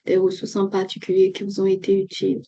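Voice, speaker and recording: Brief: woman host, polite interviewer, monotone and mellow, lo-fi sound. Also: mid-range voice, fast. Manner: polite, with fillers. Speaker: interviewer for an immigration agency. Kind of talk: casual interview. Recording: muffled lo-fi recording.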